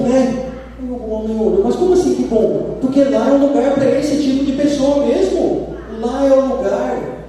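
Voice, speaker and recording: A man with a Brazilian accent preaching a sermon, telling stories with lively acted-out quotes. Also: very high-pitched, far from the mic, a little hiss or hum. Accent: Brazilian Portuguese. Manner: animated storytelling, acts out quoted voices. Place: church sanctuary. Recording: roomy church hall from afar.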